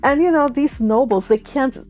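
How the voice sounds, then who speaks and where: A woman's voice: sits high, normal speed, darkish tone, faint music behind. Non-native woman author reading her story, then casual chatter full of laughs. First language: not English. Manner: laughs often, restarts sentences.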